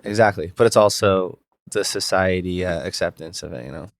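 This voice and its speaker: A young man co-hosting a podcast in casual chat, talking in a halting, reflective way that circles back on thoughts. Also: deep voice, vocal fry, dry recording. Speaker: podcast co-host.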